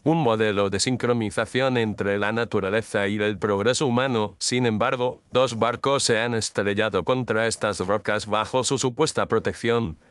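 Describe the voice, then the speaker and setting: A man playing a composed, incisive detective in an audio drama, speaking formally and with measured declamation. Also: lowish voice, fairly bright tone, clear, resonant tone, no room sound, lightly acted.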